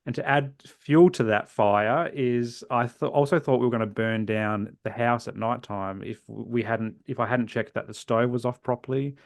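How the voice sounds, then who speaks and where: An Australian man in his forties, a guest in a podcast interview, telling his own story earnestly and reflectively, often trailing off softly at the ends of phrases. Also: mid-range voice, steady tone, moderate pace, gravelly, few pauses, no room sound, clean studio sound. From South Australia. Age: 40s.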